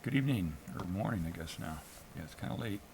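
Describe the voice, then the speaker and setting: Low-voiced American man, hesitant livestream chat. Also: lively intonation, background noise. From New England, US. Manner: hesitant, halting, self-critical. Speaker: amateur live streamer. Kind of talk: casual unscripted solo livestream chat.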